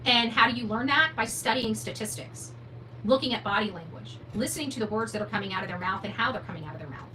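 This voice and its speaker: Woman, bright forceful voice, slightly high pitch, blunt opinionated livestream host, unscripted emphatic commentary. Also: fast, rough recording. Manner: blunt, opinionated, emphatic, asks rhetorical questions. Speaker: true crime livestream host. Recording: noticeable background noise with hum.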